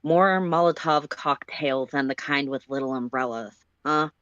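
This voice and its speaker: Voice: mid-range voice, unhurried, fairly bright tone, clear, resonant tone, no room sound, highs cut off, close to an everyday voice. A reader voicing an exasperated, wry male alien crewmate who bosses and takes care, dry and sardonic, in a read-aloud story. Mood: amused.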